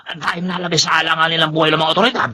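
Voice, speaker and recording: A man playing an agitated Filipino family friend, urgent and excitable, with a husky voice in a radio melodrama. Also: a little high, very fast. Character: agitated male family friend bearing grim news.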